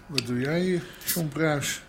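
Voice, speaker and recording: Gruff Amsterdam boss, noisy background. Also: male voice, fairly high pitch, takes it slowly. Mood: probing. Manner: blunt, grumbling, swearing. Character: gruff middle-aged Amsterdam underworld boss and father. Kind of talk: naturalistic radio drama acting.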